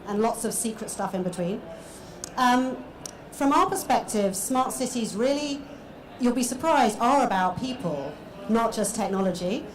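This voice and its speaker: A woman speaking as a panelist in a relaxed, conversational way. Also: mid-range voice, slight background noise. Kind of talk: panel discussion. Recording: echoey hall with crowd.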